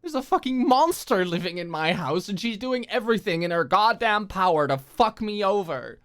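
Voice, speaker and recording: A woman's voice: medium pitch, expressive, moderate pace, voice pushed up, lightly acted. A woman voicing a hurt, furious young woman in an audio drama, bitter and tense, her voice shaky with angry tears. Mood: furious, tearful. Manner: bitter, swearing, emotional outbursts.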